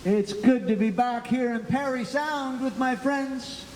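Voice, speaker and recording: A man's voice: very high voice, measured pace, a little hiss or hum. A man entertaining an audience as a musician, moving between song and stage patter. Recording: echoey live sound, long reverb, loud guitar. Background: guitar music.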